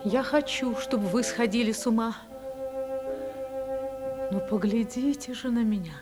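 A woman's voice: a little high, measured pace, over loud music, lightly acted. An actress in a radio play playing a passionate married woman in forbidden love, theatrical and yearning. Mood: bold, tender.

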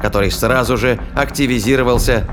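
Casting: male storyteller for science fiction thriller, mid-pitched, scripted dramatic read, faint music bed. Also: steady tone, fairly quick. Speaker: narrator.

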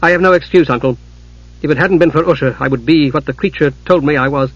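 A man's voice: fairly high pitch, quick pace, husky, dry recording, muffled recording. A man voicing an earnest, deferential young male apprentice mage, as in a fantasy audiobook.

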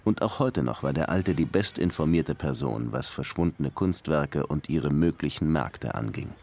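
An actor playing a hard-boiled, short-tempered Cuban police detective who also narrates a German radio crime drama in a dry, sardonic way. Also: male voice, very deep voice, expressive, normal speed, gravelly, darkish tone, heavily acted. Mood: dry, reflective. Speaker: detective and first-person narrator.

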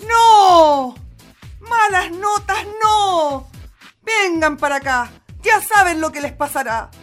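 A woman's voice: very high voice, animated, slow pace, a bit bright, exaggerated voice. A woman playing a cruel, bullying mother in a radio play, with a menacing, gloating manner. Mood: furious. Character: cruel, wicked, bullying old mother, worst woman in the world. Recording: music behind the voice.

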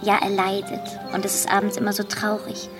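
An actress playing a coquettish, commanding young baroness, teasing and genteel, in a radio play. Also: female voice, mid-range voice, completely flat delivery, a little fast, over music, lightly acted. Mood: coquettish, insistent.

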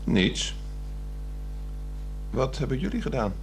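A man with a Netherlands Dutch accent acting a polite, reserved husband with dry wit in a 1960s radio drama, measured and formal. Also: mid-range voice, very animated, soft background music. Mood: wry, defensive.

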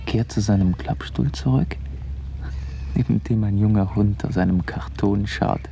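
Brooding observer, radio play monologue, slow halting delivery, low pitch, clear ambient noise. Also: male voice, mellow. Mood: observing. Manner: halting, fragmentary, repetitive observations. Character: male observer beside a lake, slow, brooding, dwelling on details.

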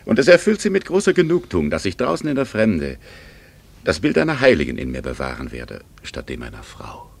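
A deep, gravelly, ironic gentleman. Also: huge pitch swings, brisk, exaggerated voice. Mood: ironic, resigned. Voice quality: gravelly, husky. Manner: ironic, formal, slipping into hushed murmurs. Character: worldly, wounded, ironic gentleman, a long-time admirer. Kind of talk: German radio drama acting.

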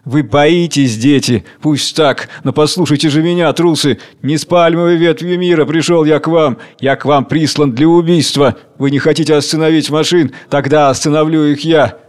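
A man voicing a furious, raving tyrant king of hunger, declaiming and commanding loudly. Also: a little high, normal speed, close to an everyday voice. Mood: fierce.

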